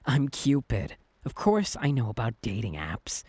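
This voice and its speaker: A young male Cupid, acted by a man, talks like an exasperated friend who is secretly smitten. His delivery is conversational and emphatic, with lively swings in pitch. It is a dry recording in the style of an ASMR boyfriend roleplay. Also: a little slow, husky, dry recording, full character voice. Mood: casual, opinionated. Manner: conversational, exasperated, emphatic, hesitant when flustered.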